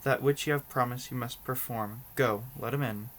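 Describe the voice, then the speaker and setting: A stern fatherly king from a storybook , read out by a man. He speaks formally and firmly in a mid-range voice. The recording carries clear noise. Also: mid-range voice, fairly even intonation, normal speed, fairly bright tone, close to an everyday voice. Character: stern fatherly king, firm about promises.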